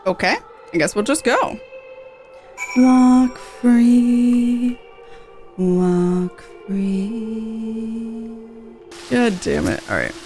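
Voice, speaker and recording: A woman's voice: on the high side, extremely slow, long pauses, a little mellow. A woman game streamer chatting casually through a playthrough with playful, rambling asides. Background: game music.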